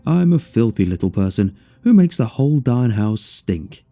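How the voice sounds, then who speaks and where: A man's voice: medium pitch, very animated, normal speed, mellow, no echo, faint music behind. A man voicing a meek, downtrodden, obedient husband puppet in a horror storybook reading. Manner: submissive, self-abasing.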